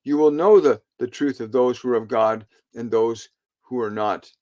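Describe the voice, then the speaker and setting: A man delivering a solemn spiritual sermon in formal, oratorical phrasing, breaking it into short, halting phrases with frequent pauses. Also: mid-range voice, dark tone. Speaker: spiritual channeler delivering a message.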